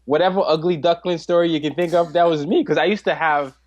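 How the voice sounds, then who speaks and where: A man in his twenties, a podcast guest, talking in an animated way, laughing often and restarting his sentences. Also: on the high side, fast talker. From Nigeria. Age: 20s. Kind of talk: casual interview chat.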